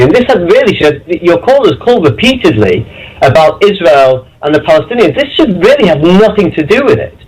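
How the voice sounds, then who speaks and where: A man's voice: a little high, slightly rough, rough recording. A man from Leeds, calling in to a radio show and speaking emphatically and indignantly. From England (Leeds). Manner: emphatic, indignant, uses stock phrases. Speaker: caller. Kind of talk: phone-in call.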